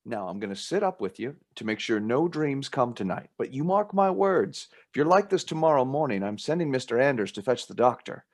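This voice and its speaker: An actor playing a protective, teasing older brother in a radio play, speaking in an emphatic, insistent way. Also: male voice, medium pitch, sing-song, fairly quick, hardly any pauses, a little mellow. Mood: soothing, firm. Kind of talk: radio drama acting.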